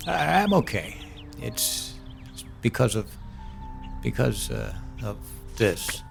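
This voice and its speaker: Slow, gravelly, hesitant mailman. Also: mid-range voice, big pitch swings, over music, heavily acted. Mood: nervous, sorry. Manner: hesitant, halting, apologetic. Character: apologetic, uneasy mailman bearing grim summonses. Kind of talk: audio drama acting.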